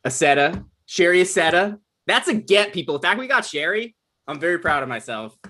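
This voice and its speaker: A man's voice: sits high, lively intonation, fairly quick, bright, forceful tone. Rambling, self-deprecating man hosting. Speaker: writer hosting a table read. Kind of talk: casual introduction of a cast.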